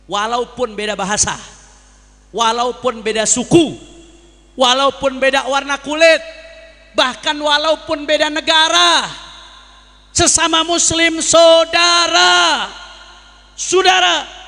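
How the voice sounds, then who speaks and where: A man's voice: extremely high pitch, steady tone, slow pace, gravelly, pauses often, sharp tone, long reverb tail. A man preaching a sermon to a congregation as an impassioned orator, using repetition and rhetorical questions. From Jakarta, Indonesia. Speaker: Islamic preacher.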